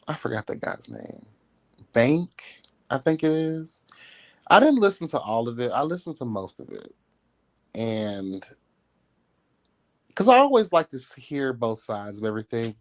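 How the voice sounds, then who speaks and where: A slow-talking man hosts a casual gossip commentary in a very dry, close recording. His pitch sits in the middle for a man. He is sassy and catty, putting things bluntly in slangy, conversational language. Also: wildly swooping pitch, dry recording. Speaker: livestream commentary host.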